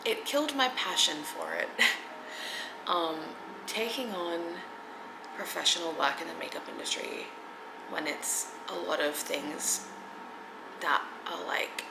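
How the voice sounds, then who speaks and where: An Australian woman in her thirties chatting casually to camera in a get-to-know-me vlog, hesitant with many ums and soft giggles, sometimes dropping near a whisper. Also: low voice, animated, measured pace, vocal fry, pauses often, echoey room, background noise. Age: 30s. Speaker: content creator. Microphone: camera mic.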